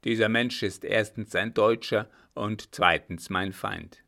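A man's voice: lowish voice, fairly even intonation, takes it slowly, vocal fry, full character voice. A man playing a harsh, vengeful army captain. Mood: grim. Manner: forceful, harsh and clipped.

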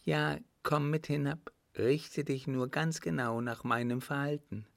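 A man's voice: fairly high pitch, steady tone, unhurried, vocal fry, a bit bright, no room sound, clean studio sound, pitched above the performer's own voice. A man voicing a bold, cool-headed young woman in an audiobook, composed and defiant. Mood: urgent, commanding. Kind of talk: audiobook character voice.

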